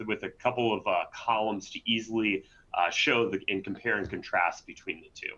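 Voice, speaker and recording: Bright-voiced man hosting a lo-fi webinar. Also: on the low side. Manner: conversational, frequent "right?" tags. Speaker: webinar host and presenter. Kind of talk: webinar presentation.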